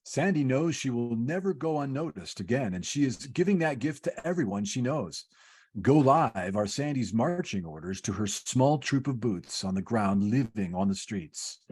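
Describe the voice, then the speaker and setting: A calm senior angel in a radio play , acted by a man, with a husky, medium-pitched voice. He explains patiently and instructively, like an overseer briefing his junior. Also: normal speed. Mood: reassuring. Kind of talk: old-time radio play parody, read from script.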